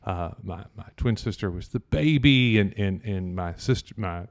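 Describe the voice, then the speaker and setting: Smooth, low-voiced man from Kentucky. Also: animated, normal speed, no echo, clean studio sound. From Louisville, Kentucky, US. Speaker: interview guest, business association president. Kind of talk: casual personal storytelling.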